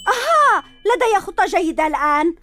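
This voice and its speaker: A woman voicing an eager young superhero boy in a cartoon dub, energetic and shouting hero calls. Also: very high voice, a little fast, over loud music. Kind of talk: cartoon dubbing.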